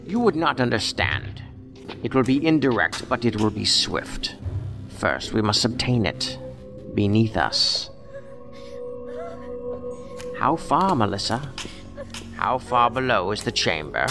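A cryptic male mystic, played by a man, speaks calmly and in riddles. His voice moves around a lot within a middle pitch. Music plays behind him. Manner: calm, cryptic, measured. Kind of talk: audio drama acting. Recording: music underneath.

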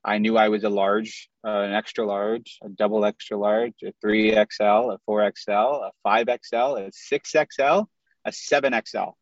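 A man's voice: fairly low pitch, fairly even intonation, moderate pace, dry recording. A man in his thirties from the Midwest tells his story openly, sometimes reeling off long lists. He speaks over a video call, and the audio sounds dry and muffled. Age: 30s. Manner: candid, storytelling, lists things in runs. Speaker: guest.

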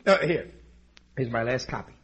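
A man's voice: mid-range voice, animated, moderate pace, fairly bright tone, slightly rough, lo-fi sound. An actor playing a blustering, naive, well-meaning family man, folksy and hesitant, in 1940s radio sitcom style. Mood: genial, naive. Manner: folksy, hesitant, easily exasperated.

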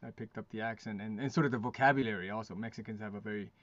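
Halting, chatty man, muffled sound. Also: fairly low pitch, a bit bright, no echo. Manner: halting, many restarts, laughs often. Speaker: podcast guest. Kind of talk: casual interview chat. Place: lives in Quebec.

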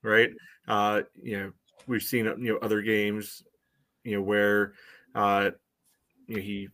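Lower-voiced man analyzing soccer. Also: level tone, slow, fairly bright tone. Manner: conversational, hedging, frequent "you know". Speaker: soccer podcast co-host. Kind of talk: casual sports analysis.

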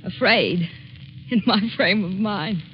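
A woman's voice: mid-range voice, slow pace, a little hiss or hum, natural voice. A woman playing a despairing, wary young woman in a 1940s radio suspense drama, curt, cynical and challenging. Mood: jaded, cynical. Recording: dull, lo-fi 1940s radio recording.

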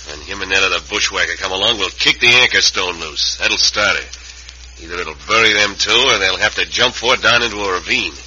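A man's voice: low-pitched, a little fast, pushed and bright. A man playing a cold-blooded, gloating outlaw boss with an American Western accent and menacing folksy talk, in an old radio western. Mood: scheming. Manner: menacing, folksy rough grammar. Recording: dull, noisy old radio recording.

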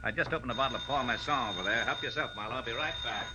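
An actor playing a cagey, self-satisfied burlesque promoter in a 1940s radio drama. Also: male voice, mid-range voice, rapid-fire, close to an everyday voice. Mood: businesslike. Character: smug, cagey male burlesque show promoter. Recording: hissy old radio recording.

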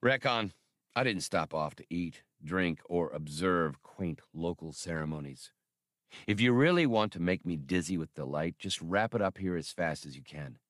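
A man's voice: low-pitched, animated, moderate pace, no echo, studio quality. A man voicing a brash, impatient starship captain in an audiobook, blunt and sarcastic. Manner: blunt, sardonic. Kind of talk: audiobook character dialogue.

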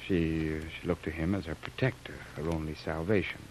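Grave, low-voiced jungle doctor. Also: male voice, fairly even intonation, a little fast. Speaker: doctor character. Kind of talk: old-time radio drama acting. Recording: noisy old radio recording.

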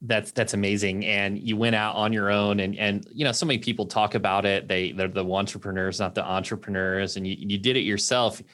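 A man's voice: lowish voice, fairly even intonation, a little fast, few pauses, a bit bright. A man hosting a podcast, moving between scripted narration and interview talk. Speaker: podcast host.

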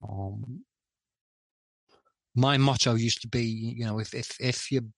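A middle-aged man speaking candidly as a podcast guest, hesitant with many pauses and restarts. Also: medium pitch, steady tone, vocal fry, no echo. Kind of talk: candid interview talk.

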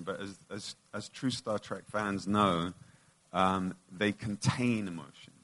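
A man's voice: fairly low pitch, a bit bright. A British man answering questions on a panel with dry, self-mocking humor. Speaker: actor on a panel. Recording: noisy recording.

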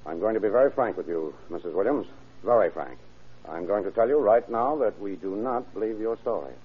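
An actor in an old-time radio drama playing a blunt, firm police lieutenant, direct and polite but pressing. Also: male voice, on the low side, brisk, lightly acted. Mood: stern, accusing. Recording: muffled, phone-like old radio recording.

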